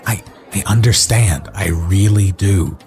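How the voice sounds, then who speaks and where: A weary husband in a horror audio drama. His voice is very low and his delivery is very unhurried, placating on the surface and exasperated underneath. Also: a little mellow, soft background music. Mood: placating, patient. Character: Ben, exasperated, doomed husband working on finances.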